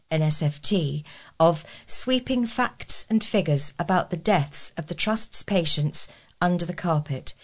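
A woman reading local news aloud from a script as a volunteer newsreader. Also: fairly low pitch.

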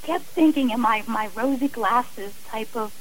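A woman with a fairly high voice talks as a guest on a radio interview. She is earnest and emphatic, and she often repeats phrases to drive a point home. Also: a little slow, slight background noise, low-quality recording.

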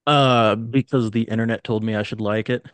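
A man's voice: on the low side, steady tone, normal speed, fairly bright tone, no echo. A man in his late twenties, a guest on a podcast, talking casually in a laid-back way. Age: 20s.

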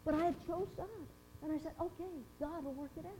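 A woman's voice: extremely high pitch, a little fast, warm tone, slight background noise, low-quality recording. A woman playing Mary, wide-eyed and earnest. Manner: earnest, wondering, emotional storytelling. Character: Mary, young mother of Jesus, awed and earnest. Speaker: dramatic monologue performer. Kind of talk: first-person biblical character monologue.

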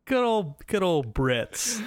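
A man's voice: sits high, sing-song, takes it slowly, dry recording, studio quality. American man telling a rambling story. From United States. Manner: rambling, laughing, many restarts. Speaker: podcast co-host. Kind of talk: casual storytelling chat.

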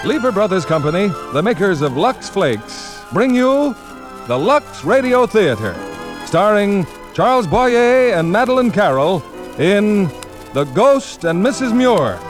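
A man's voice: sits high, big pitch swings, unhurried, poor audio. A man announcing a radio show in a grand, projected 1940s broadcast style. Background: prominent music.

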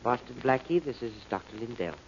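A man's voice: mid-range voice, expressive, brisk, natural voice. An actor playing a polite, formal, slightly hesitant male dentist in 1940s radio drama style. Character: courteous, puzzled professional male dentist. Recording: dull hissy old radio recording.

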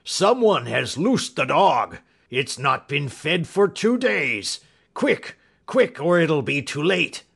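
A man's voice: on the high side, big pitch swings, takes it slowly, creaky, a bit bright, husky, pitched above the performer's own voice, exaggerated voice. A man voicing a panicked elderly manservant, shaking and exclaiming frantically, in a storybook reading. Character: panicked elderly male servant, red-faced and shaking.

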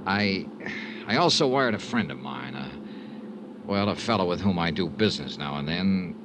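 A man's voice: deep voice, expressive, normal speed, background noise. A male actor playing a troubled, hard-boiled insurance investigator in a 1950s radio drama, clipped and wry. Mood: gentle, evasive. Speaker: lead actor and first-person narrator. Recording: 1950s radio recording with music.

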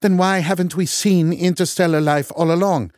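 A man's voice: sits high, steady tone, normal speed, darkish tone, dry recording, clean studio sound, voice pushed up, natural voice. A man voicing a dour, condescending woman scientist with a Norwegian accent. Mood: argumentative. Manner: condescending, dismissive.

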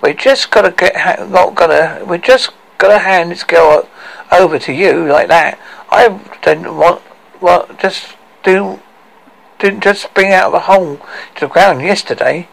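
A man's voice: high-pitched, unhurried, creaky, fairly bright tone, pitched above the performer's own voice, full character voice, low-quality recording. A man voicing a brash, scheming dwarf in a storybook, pushy and always haggling. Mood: insistent. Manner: pushy, wheeling-dealing. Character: brash scheming male dwarf bargaining for ransom.

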